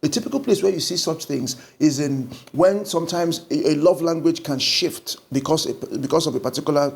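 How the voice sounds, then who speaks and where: A middle-aged man with a Nigerian accent speaks in a slightly raised, gravelly voice, recorded close on a lapel mic. He talks through ideas like a pastor in conversation, animated and expressive as he explains. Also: a little high, normal speed. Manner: animated storytelling with lively gestures. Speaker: pastor and guest. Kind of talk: conversational book discussion. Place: indoor studio set.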